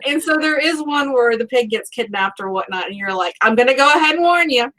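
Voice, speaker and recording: A woman hosting a casual live book chat, talking like an animated, gushing fan and acting out her reactions. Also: fairly high pitch, fairly quick, low-quality recording. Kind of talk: casual chatty author interview.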